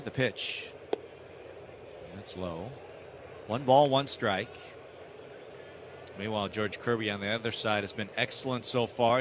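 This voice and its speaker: A man doing live radio play-by-play commentary of a baseball game. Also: mid-range voice. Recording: steady background ballpark noise. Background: outdoor stadium ambience.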